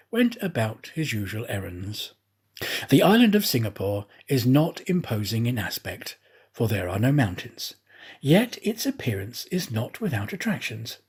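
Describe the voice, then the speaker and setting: Expressive, slightly husky male storybook narrator. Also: mid-range voice. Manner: theatrical, expressive, measured phrasing. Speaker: audiobook narrator, actor. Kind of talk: scripted storybook narration of a classic novel.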